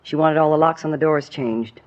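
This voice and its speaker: A woman's voice: low voice, normal speed, slight background noise, lightly acted. A woman playing a grumbling, gossipy apartment manager, peevish and dismissive, in an old radio drama. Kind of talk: 1950s radio drama acting. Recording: dull old radio recording.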